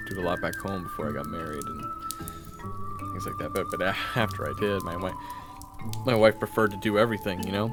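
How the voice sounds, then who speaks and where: Stammering swordsman, played by a man, lowish voice, swings widely in pitch, loud music behind. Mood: sheepish. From Orleans, northwest Europe. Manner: stammering, nervous restarts. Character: married European swordsman, young adult man, flustered and wary. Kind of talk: audio roleplay acting.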